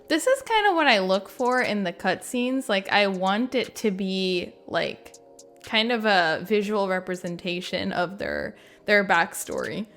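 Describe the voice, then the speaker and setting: A woman's voice: medium pitch, big pitch swings, unhurried, fairly bright tone, soft background music. A woman giving casual reaction commentary in an informal, chatty way, with frequent use of "like". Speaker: gaming video creator.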